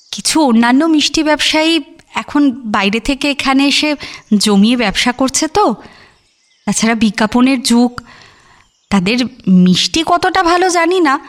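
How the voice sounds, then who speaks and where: A woman playing a friendly, talkative married daughter, effusive in her audio drama dialogue. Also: sits high.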